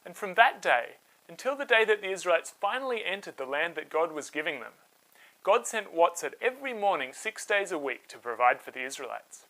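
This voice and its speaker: A storyteller retelling Bible tales for children in an expressive, simple and conversational way. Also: mid-range voice, brisk, poor audio.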